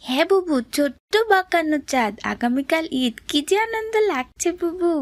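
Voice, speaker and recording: A woman as an eager, high-voiced little boy. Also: animated, normal speed, no echo. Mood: excited, joyful. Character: eager, innocent little boy, poor younger brother. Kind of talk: cartoon drama voice acting.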